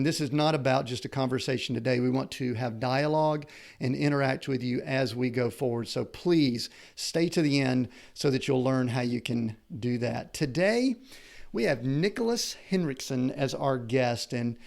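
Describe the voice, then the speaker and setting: Middle-aged male podcast host, gravelly, easygoing and enthusiastic. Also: mid-range voice, darkish tone. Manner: conversational, enthusiastic, with frequent restarts. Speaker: podcast host and business coach. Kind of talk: podcast interview. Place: family home indoors.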